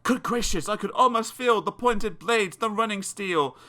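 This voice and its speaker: A man reading children's verse aloud as an audiobook narrator, theatrical and expressive. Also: sits high, moderate pace. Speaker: audiobook reader.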